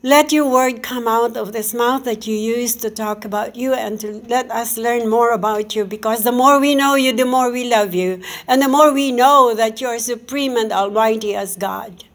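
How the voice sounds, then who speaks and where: Soft-voiced woman preaching and praying. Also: on the high side. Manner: earnest, with halting restarts and repeated "oh Lord". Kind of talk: sermon and spoken prayer.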